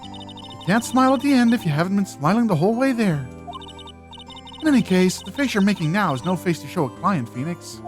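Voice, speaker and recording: A woman playing a calm, confident mentor lawyer in a fan voice-over of a visual novel, speaking in a measured, instructive way. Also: medium pitch, soft background music, natural voice. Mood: firm, encouraging.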